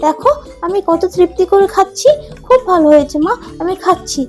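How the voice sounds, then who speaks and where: A woman's voice: extremely high pitch, fairly quick, a little mellow. A woman voicing a young poor village boy in a cartoon, tender-hearted and pleading in a plaintive way. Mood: brave, consoling. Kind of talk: cartoon dubbing. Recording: music under the voice.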